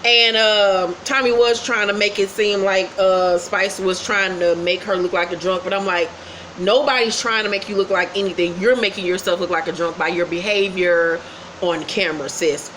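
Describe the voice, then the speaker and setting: Sassy woman host doing a blunt, profane gossip recap; bright, mid-pitched voice. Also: fairly even intonation, moderate pace, slight background noise, rough recording.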